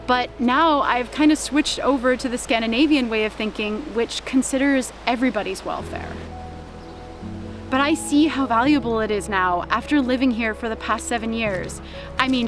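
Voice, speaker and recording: Young woman, slightly high voice, reflective vlog voice-over, steady music bed underneath. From Canada. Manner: calm, heartfelt, with small laughs. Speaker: vlogger.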